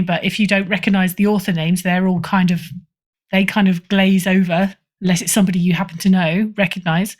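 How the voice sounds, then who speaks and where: A woman speaking as an editor guest in a casual interview, emphatic and opinionated in a conversational way. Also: medium pitch. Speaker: podcast guest, fiction editor.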